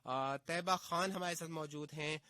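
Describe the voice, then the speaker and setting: Bright-voiced radio host. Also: male voice, on the high side, no room sound. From Pakistan. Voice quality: sounds hoarse on air though he says his throat is fine. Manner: chatty, warm greetings, drawn-out filler pauses. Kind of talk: casual radio chat reading listener messages. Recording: FM radio broadcast with faint music bed.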